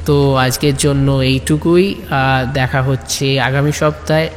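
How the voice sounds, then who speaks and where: A man's voice: medium pitch, steady tone, unhurried. A man narrating an audio story as host, emphatic and repeating phrases for effect. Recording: long reverb, clear vehicle-like background noise.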